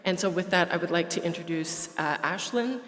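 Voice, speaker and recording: A woman from Quebec whose first language is French, giving a brisk report at an association's annual meeting, with some fillers and restarts. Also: lowish voice, fairly bright tone. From Quebec, Canada. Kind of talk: prepared speech at a meeting. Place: meeting hall at an airport. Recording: roomy hall reverb.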